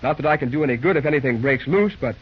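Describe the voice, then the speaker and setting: A man's voice: medium pitch, expressive, very fast, husky. An actor playing a brash, determined young American railroad man in a breezy, wisecracking old radio drama style. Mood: brisk, confident. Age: young adult. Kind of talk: 1940s radio acting. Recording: muffled vintage radio recording.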